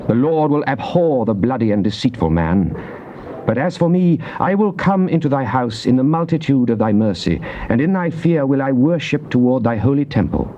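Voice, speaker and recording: An actor playing a devout psalmist in a dramatized scripture reading, with a British accent and solemn, declamatory, measured phrasing. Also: male voice, mid-range voice, dark tone, close to an everyday voice. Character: devout psalmist pleading and praising God. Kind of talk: dramatized scripture reading, classical stage delivery. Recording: dull, noisy old cassette tape.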